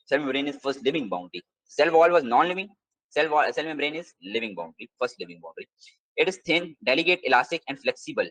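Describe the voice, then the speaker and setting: A man's voice: a little high, expressive, quick pace, a bit bright, no room sound, low-quality recording. Man tutoring biology over a dry mic. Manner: repetitive, drilling key points. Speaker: exam prep tutor. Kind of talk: live online lecture.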